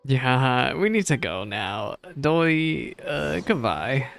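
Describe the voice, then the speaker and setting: A man's voice: medium pitch, big pitch swings, slow, gravelly, a bit bright. A man giving casual live reaction commentary as a game streamer, muttering asides and talking back to the characters.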